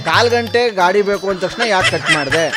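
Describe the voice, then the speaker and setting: A man's voice: high voice, animated, fast talker, music in the background. A man playing an irate, bullying customer in a prank phone call, hectoring and sarcastic. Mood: angry hectoring. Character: irate, bullying male customer demanding a hired vehicle. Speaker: radio prank-call host.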